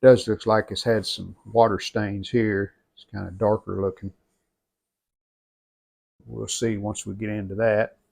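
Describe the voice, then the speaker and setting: A man giving an unscripted hands-on restoration walkthrough in plainspoken, halting short phrases. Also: on the low side, flat delivery, vocal fry, a little mellow. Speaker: radio restorer presenting own channel.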